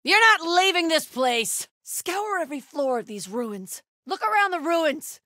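A fierce, high-pitched zealot warrior. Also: female voice, sing-song, unhurried, no room sound, clean studio sound, heavily acted. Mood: commanding. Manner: forceful, commanding, accusing. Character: fierce, zealous female cult warrior hunting enemies. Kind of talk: video game combat barks.

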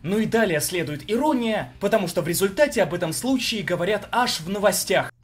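A man's voice: high-pitched, animated, brisk, breathy edge. A man giving sarcastic scripted commentary in a mocking, sardonic manner. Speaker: video reviewer.

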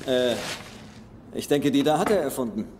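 A man's voice: mid-range voice, animated, moderate pace, darkish tone, a little hiss or hum, close to an everyday voice. A man acting an eager, well-read treasure hunter in a German film dub, polite and explanatory. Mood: awed. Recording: film soundtrack with music behind.

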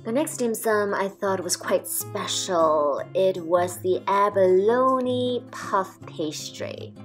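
A woman calmly narrating a restaurant food review as a voice-over, describing each dish in a measured way. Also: medium pitch, soft background music. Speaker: food vlogger.

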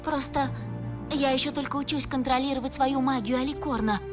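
An actress playing an anxious young pony princess in a dubbed cartoon, earnest, dutiful and a little fretful. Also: female voice, sits high, steady tone, a little fast, over music, natural voice.

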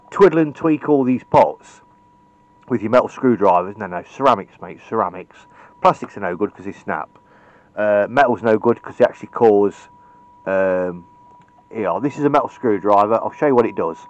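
A man's voice: medium pitch, muffled recording, a little hiss or hum. A British man giving an informal, opinionated hands-on tutorial in a blunt way, recorded on a camera's built-in microphone. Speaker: radio repair hobbyist presenting a tutorial. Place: indoors at a cluttered electronics workbench.

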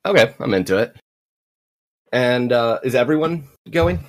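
A man's voice: medium pitch, unhurried. A man acting as game master, casually describing comic panels to his players with easy enthusiasm. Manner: relaxed, enthusiastic, lots of fillers.

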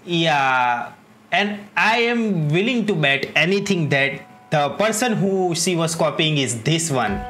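Very slow-talking streamer, mid-pitched voice. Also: animated, fairly bright tone, a little hiss or hum. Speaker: game streamer. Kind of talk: casual playthrough commentary. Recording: game music behind the voice. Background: game music.

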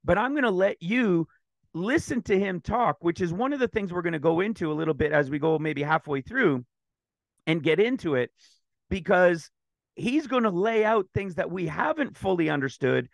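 Man, slightly raspy throat, excited rambling delivery, high pitch, dry sound. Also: moderate pace, dry recording, poor audio. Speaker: ministry teacher. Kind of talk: screen-share Bible teaching.

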